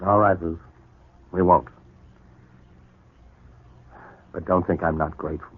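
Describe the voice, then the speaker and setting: Guilt-ridden husband, vintage radio serial. Deep, deliberate, tender, narrow-band old recording. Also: male voice, steady tone, husky. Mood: remorseful, tender. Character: troubled, guilt-ridden, grateful husband and father. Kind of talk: 1940s radio soap opera acting. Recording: muffled, narrow 1940s radio broadcast recording.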